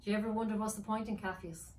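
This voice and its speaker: A woman's voice: mid-range voice, fairly even intonation, very fast, darkish tone, pitched above the performer's own voice, close to an everyday voice. A woman reading the part of a hesitant, doubtful young boy in a children's story. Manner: unsure, reluctant. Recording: roomy, distant mic, faint noise.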